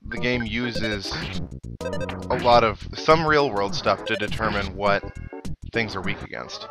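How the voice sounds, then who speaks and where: Low-voiced young man chatting over game music. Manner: chatty, rambling, reflective asides. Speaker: let's play host. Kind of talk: casual video game commentary. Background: video game music.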